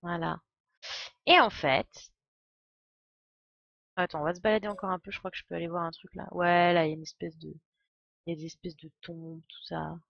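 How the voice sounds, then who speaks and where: A woman's voice: on the low side, steady tone, a little fast, vocal fry, long pauses, no echo. A woman giving casual, joking, irreverent live commentary as a game streamer. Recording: dull, lo-fi microphone sound.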